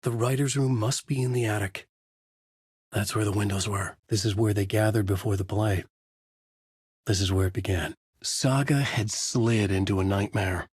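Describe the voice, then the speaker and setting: Haunted crime writer, low husky voice, dry close sound, grave noir narration, intense and deliberate, horror video game. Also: male voice, steady tone, dry recording. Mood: ominous, brooding. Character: brooding, haunted crime novelist narrating his horror story. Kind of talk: noir scripted narration and character lines.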